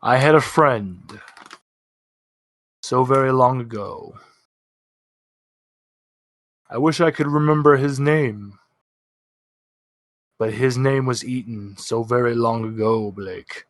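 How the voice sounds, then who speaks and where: Man voicing a slow, solemn ancient higher being. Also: dry recording, natural voice. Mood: wistful. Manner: slow, halting, grave and dramatic. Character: solemn ancient higher entity, wistful and kindly mentor.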